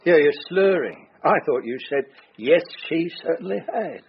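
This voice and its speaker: An older father in a radio play, gentle and reasonable. His voice is very husky, with lively pitch movement, on dull-sounding audio. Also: normal speed, heavily acted. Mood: gently reproving. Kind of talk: naturalistic radio drama acting.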